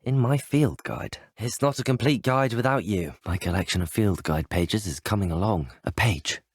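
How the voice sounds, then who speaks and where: Husky, curious young wizard student. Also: male voice, fairly low pitch, expressive, moderate pace, dry recording, studio quality. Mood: curious, pleased. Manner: polite, well-spoken, earnest. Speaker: player character in a video game. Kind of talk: video game voice lines.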